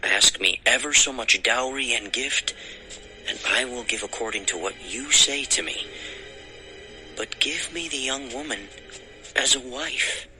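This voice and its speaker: Very bright-voiced eager young prince. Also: male voice, medium pitch, unhurried, faint music behind, poor audio. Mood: earnest pleading. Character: lovestruck eager young prince of ancient times. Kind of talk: dramatized audio Bible acting.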